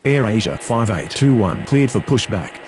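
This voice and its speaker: A man's voice: on the low side, lively intonation, normal speed, darkish tone, slight background noise, close to an everyday voice. A man playing a businesslike aviation radio operator in a game, with formulaic, procedural phrasing. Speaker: air traffic radio voice.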